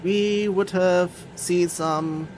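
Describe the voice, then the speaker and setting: Slow, halting woman commentating a game. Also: fairly low pitch, steady tone, a little mellow, a little hiss or hum. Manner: halting, thinking aloud. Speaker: game streamer. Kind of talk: casual live playthrough commentary.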